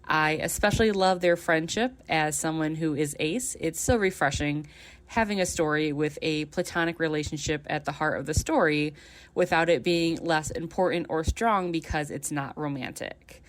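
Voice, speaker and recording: Female fan, deep for a woman, delivering a prepared voice-message testimonial. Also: moderate pace.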